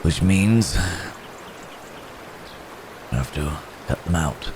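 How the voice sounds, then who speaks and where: A man playing a wounded, courteous werewolf in an intimate ASMR roleplay, halting and strained with pain, with old-fashioned polite phrasing. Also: a bass voice, lively intonation, background noise, full character voice. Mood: weary, grave. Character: wounded, weary, courteous male werewolf hunted by men.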